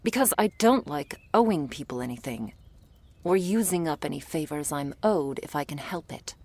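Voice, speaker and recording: A woman playing a jaded, prickly monster hunter in a roleplay audio drama, blunt and sardonic, with a low gravelly voice. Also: animated, moderate pace, darkish tone, no room sound. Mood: grudging, weary.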